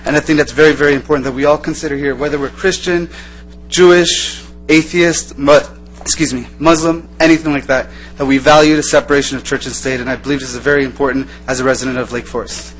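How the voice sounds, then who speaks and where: Guy doing public comment at a council meeting, talks fast, earnest, kinda rambly and repetitive. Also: mid-range voice, fairly even intonation, faint music behind, poor audio. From born in Iran, raised in the United States. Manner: earnest, repetitive, loosely improvised. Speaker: resident giving public comment. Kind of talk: informal public speech.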